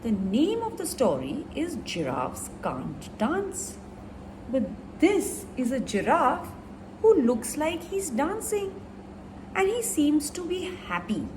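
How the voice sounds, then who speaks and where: A woman reading a children's picture book aloud in an expressive storyteller's way, talking directly to young listeners. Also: high-pitched, takes it slowly. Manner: animated storyteller addressing young listeners with questions. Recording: roomy, distant mic, clearly noisy background.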